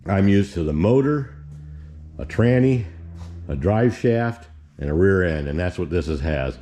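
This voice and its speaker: Man in his sixties, warm dark tone, folksy garage chat, restarts often. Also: sits low, animated, unhurried. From Indiana, US. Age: 60s. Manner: rambling, folksy, restarts a lot. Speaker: garage vlogger. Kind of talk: casual how-to chat.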